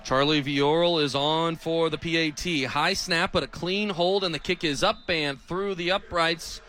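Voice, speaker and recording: Bright-voiced young American football play-by-play man. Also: on the high side, moderate pace. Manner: crisp, clipped phrases that build to excited calls on big plays. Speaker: play-by-play radio sports announcer. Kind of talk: live American football commentary.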